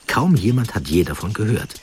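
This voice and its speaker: A man reading scripted story narration in deliberate, dramatic short phrases. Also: lowish voice, big pitch swings, darkish tone, a little hiss or hum.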